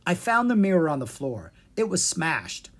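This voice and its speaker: A haughty winter troll princess from a storybook, put on by a woman, curt and easily irritated. The voice is husky, with animated pitch. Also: normal speed. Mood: grave. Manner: curt, commanding.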